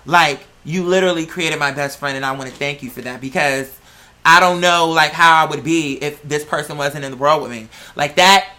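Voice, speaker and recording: Androgynous mid-pitched voice, bright and forceful, American accent, sassy slangy gossip, emphatic drawn-out words, casual vlog storytelling. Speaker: vlog host.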